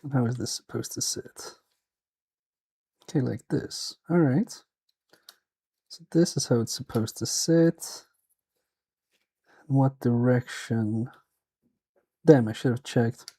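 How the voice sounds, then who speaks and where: A man's voice: medium pitch, slow pace, pauses often, dark tone, husky. A man with a Swedish accent, thinking aloud and muttering to himself as he repairs a toy on a live stream. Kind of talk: casual live-stream commentary while repairing.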